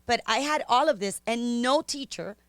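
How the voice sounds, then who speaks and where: A woman's voice: fairly high pitch, moderate pace, dry recording. A middle-aged woman from Miami with a Spanish-influenced Miami accent, a native Spanish speaker, telling her story candidly as a podcast guest with lots of ums and laughs. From Miami, Florida. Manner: animated, chatty, frequent ums and laughs.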